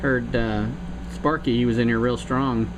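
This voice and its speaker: A man on a CB radio calmly reports how well other stations are coming in, in a plain, chatty way. Loud static hiss sits under his voice the whole time. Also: medium pitch, measured pace. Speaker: CB radio operator. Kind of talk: casual radio chat.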